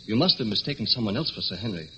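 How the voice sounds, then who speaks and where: An actor playing a formal, deferential manservant in a radio drama, courteous and proper. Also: male voice, on the low side, expressive, very fast, bright, forceful tone. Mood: polite, deferential. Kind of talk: old radio drama acting. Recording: dull lo-fi radio recording, faint hiss.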